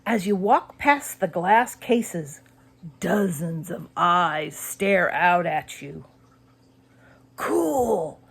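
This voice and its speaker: A woman reading a spooky children's story as scripted narration, expressive and suspenseful. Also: lowish voice, slow pace, breathy edge. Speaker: audiobook narrator.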